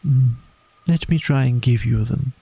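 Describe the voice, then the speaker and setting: A man's voice: medium pitch, unhurried, vocal fry, mellow, slightly rough, no echo, full character voice. A man voicing a dreamy, languid, poetic male water rat in a storybook, with a murmuring, rapt manner.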